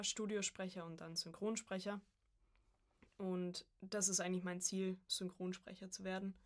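A woman in her early twenties chatting informally as a podcast guest, telling her story in a casual way. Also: on the low side. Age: early 20s. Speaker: podcast guest, voice acting student. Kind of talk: casual conversational interview.

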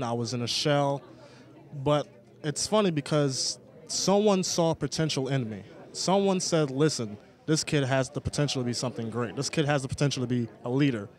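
Earnest young man, halting and sincere. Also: mid-range voice. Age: young adult. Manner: earnest, halting, many restarts and fillers. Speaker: former student leader, guest. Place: outdoors, city setting.

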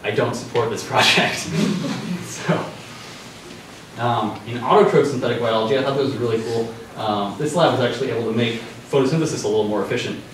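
A man's voice: medium pitch, brisk, distant mic, slight background noise. Young man talking in an echoey hall. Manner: loose and conversational, many ums and restarts. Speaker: presenter. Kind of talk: informal science news talk. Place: dark lecture room with a projector. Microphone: far room mic.

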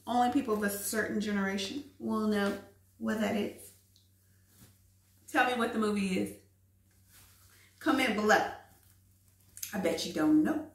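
A woman in her forties talks straight to her viewers as she does her chores and cooks, chatty and quick to laugh. Her voice has a gravelly, fry-tinged edge. It is picked up on a phone camera held at arm's length, in echoey bathroom and kitchen spaces. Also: mid-range voice. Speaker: vlogger. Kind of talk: casual cook-with-me vlog. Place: home bathroom, then kitchen.

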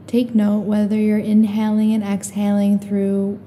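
Slow, very mellow woman guiding meditation. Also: medium pitch, slight background noise. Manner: calm, soothing, unhurried with long pauses. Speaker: meditation guide. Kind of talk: guided meditation, slow calm instruction.

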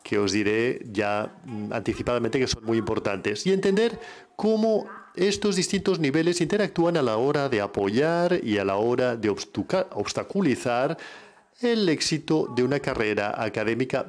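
Male interpreter voicing a lecture. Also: medium pitch. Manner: measured, with frequent self-corrections.

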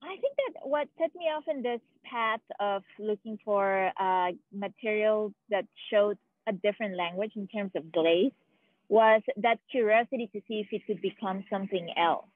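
A woman's voice: mid-range voice, moderate pace, dry recording. A woman in her thirties from Mexico with a Mexican Spanish accent, a ceramic artist answering reflectively, searching for words, recorded outdoors on an earbud mic. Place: outdoors by a concrete wall. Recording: dry, phone-like audio.

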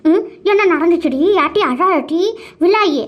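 A woman's voice: extremely high pitch, expressive, moderate pace. A woman playing a nosy, scolding older village aunt who questions insistently in a family drama. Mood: inquisitive. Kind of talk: melodramatic Tamil village family skit. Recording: dull, muffled lo-fi audio.

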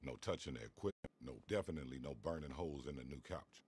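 An actor playing a gruff, bossy, easily exasperated American recording studio manager in a video game, with a husky voice and street slang. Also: male voice, extremely low pitch, quick pace, darkish tone.

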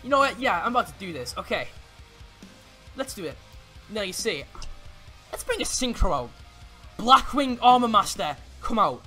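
A young man with an excitable, giggly way of talking and stumbling over words, playing a card game in a casual roleplay. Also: high voice, moderate pace, long pauses, faint music behind. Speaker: player in a card duel roleplay. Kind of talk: casual in-character gameplay commentary.

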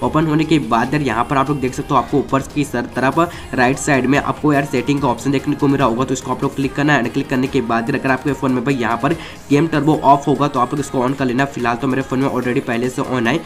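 A man's voice: medium pitch, steady tone, quick pace, hardly any pauses. A man narrating a casual step-by-step gaming tutorial in a chatty way. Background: background music.

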